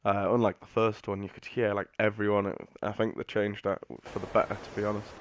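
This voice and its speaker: A man's voice: fairly low pitch, level tone, quick pace, noisy background. A British man giving casual, rambling live commentary as he streams a video game. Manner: rambling, offhand. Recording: game music behind the voice. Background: video game music.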